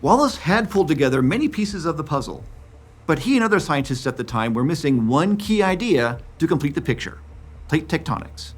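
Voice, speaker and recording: A man in his fifties giving scripted, clear documentary narration about science. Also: a little high, big pitch swings, fairly quick. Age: 50s. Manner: clear, explanatory.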